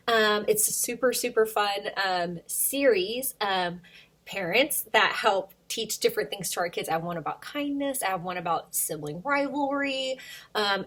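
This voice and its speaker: A woman's voice: medium pitch, fairly bright tone. A woman speaking simply and encouragingly to children as an informal story time reader and teacher. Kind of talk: informal talk and storybook read-aloud for children.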